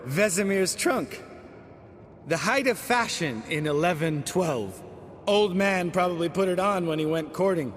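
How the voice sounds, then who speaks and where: A man's voice: sits high, lively intonation, unhurried, in a large hall, a little hiss or hum. An actor playing a drunk monster hunter who pompously mimics his old mentor in a fantasy game, mock-solemn and grandiloquent.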